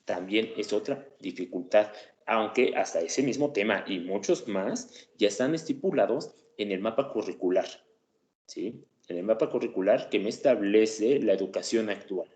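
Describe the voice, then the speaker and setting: A man gives an explanatory lecture on education, his voice sitting on the low side. He keeps it conversational, dropping in filler sounds and little tag questions to check that listeners follow. The room around him is echoey and reverberant. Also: normal speed, a little mellow. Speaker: teacher presenting a class topic.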